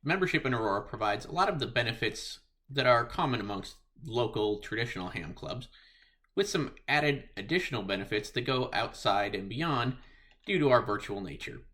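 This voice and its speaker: A man delivers a scripted club presentation. His voice sits at a middling pitch with a notably bright, forceful edge. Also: animated, moderate pace. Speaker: amateur radio club member presenting the club.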